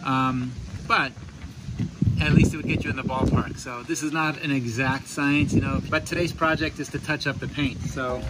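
A man in his fifties casually explaining a boat chore as a sailing vlog host, relaxed and chatty with many ums and restarts, recorded on camera outdoors on a catamaran at a marina. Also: mid-range voice, moderate pace, poor audio. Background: wind and harbor noise.

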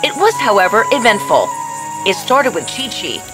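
A woman narrates a fairy tale from a script in a medium-pitched voice. The intonation is lively and varied, and music plays underneath. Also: normal speed, poor audio.

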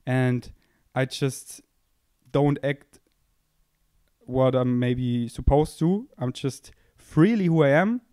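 A man's voice: mid-range voice, slow pace, pauses often, warm tone, clear, resonant tone, dry recording. Young guy rambling on his podcast, jokey and self-mocking, close handheld mic, dry room sound. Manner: playful, self-mocking, thinks aloud. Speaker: podcast host. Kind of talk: casual rambling monologue. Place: indoor room, seated on a couch. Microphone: handheld microphone held close.

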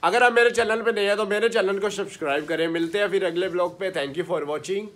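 A man's voice: high voice, a little fast, a bit bright. A young man in his twenties chatting to his phone camera at home as a vlogger, narrating his day, with a slightly sore throat. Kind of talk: casual vlog chat. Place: small room at home. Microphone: phone held at arm's length.

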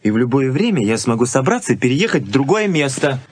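A man's voice: medium pitch, sing-song, fast talker, slight background noise, lightly acted. Earnest young man, acted, radio drama. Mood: firm. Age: young adult. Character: principled, possessive young fiancé, earnest and stubborn. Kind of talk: 1980s Soviet radio play acting.

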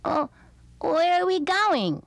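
Woman voicing a high-pitched pouty little boy. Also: sing-song, slow pace, vocal fry, no echo, full character voice. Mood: puzzled. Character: young boy, eager, pouty and easily upset. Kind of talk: children's storybook reading.

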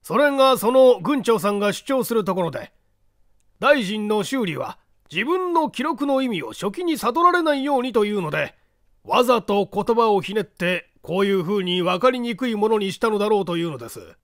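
A man voicing a courteous castle owner in an audiobook, explaining old secrets in formal, measured storytelling. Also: sits high, very animated, no echo, clean studio sound, pitched above the performer's own voice. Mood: explanatory.

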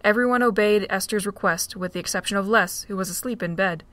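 A woman narrating a fan audio drama with a steady storytelling read. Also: mid-range voice, fairly quick, no echo. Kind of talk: scripted narration.

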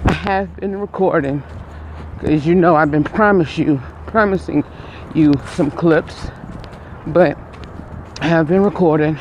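A man's voice: fairly high pitch, a little slow, slight background noise, low-quality recording. A man in his twenties vlogging casually while walking outdoors on a street, talking through an earbud mic and rambling with frequent restarts. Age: 20s. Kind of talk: casual vlog. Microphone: earbud headset mic.

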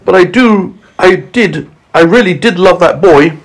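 A man as a plaintive, high-voiced earthworm. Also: sing-song, normal speed, slightly rough, low-quality recording. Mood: tearful. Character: tearful, sentimental storybook earthworm. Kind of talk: storybook character voice.

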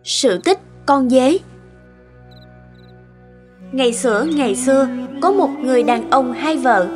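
A woman's voice: high-pitched, unhurried, a little mellow. A woman narrating a fairy tale as a storyteller in a scripted style. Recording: long reverb, music behind.

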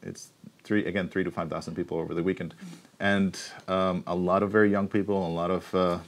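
A man in his forties answering interview questions in a studio, thoughtful and explanatory, with frequent pauses and restarts, recorded on a lapel mic. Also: low-pitched, level tone. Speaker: university researcher, keynote guest. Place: TV studio set.

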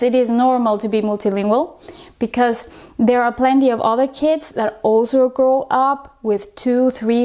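Casting: woman, 30s, from Ecuador, slightly high-pitched voice, explanatory video host addressing viewers. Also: mellow. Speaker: host of a multilingual parenting channel. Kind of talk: explanatory question-and-answer to camera. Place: small indoor room, plain wall.

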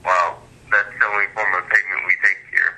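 A man's voice: low voice, flat delivery, brisk, vocal fry, sharp tone, breathy edge, low-quality recording. A teenage male chatting casually through a phone speaker, picked up by a camera mic. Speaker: call recipient playing along. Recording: buzzy speakerphone call, faint noise.

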